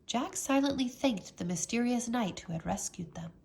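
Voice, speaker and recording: A woman reading a children's storybook aloud as a teacher, with expressive, dramatic narration. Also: a little high, moderate pace, creaky.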